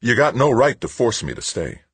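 A man's voice: medium pitch, sing-song, moderate pace, fairly bright tone, breathy edge, no room sound, pitched above the performer's own voice, full character voice. A man voicing a stubborn, resentful male spacefarer in an audiobook, blunt and sullen. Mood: protesting. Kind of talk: audiobook character dialogue.

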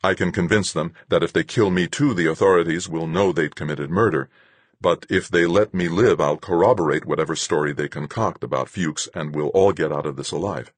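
A man's voice: sits low, a little fast, no room sound. A man voicing an anxious male space explorer in an audiobook, tense and earnest. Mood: anxious, calculating.

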